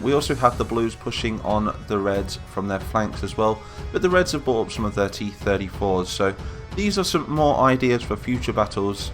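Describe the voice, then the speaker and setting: A man's voice: medium pitch, a bit bright. A man with a cold and a nasal voice, giving chatty, rambling commentary over a battle-simulation game and correcting himself as he goes. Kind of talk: casual gameplay commentary.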